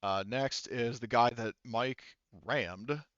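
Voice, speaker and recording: A man running an online tabletop roleplaying game as game master, thinking aloud in a halting way over a headset mic. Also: medium pitch, fairly bright tone, no room sound, dull audio. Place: online voice session.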